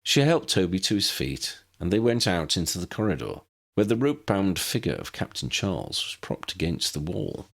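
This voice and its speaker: A man's voice: sits low, very animated, normal speed, vocal fry, hardly any pauses, a bit bright. A man reading a scripted story as an audiobook narrator.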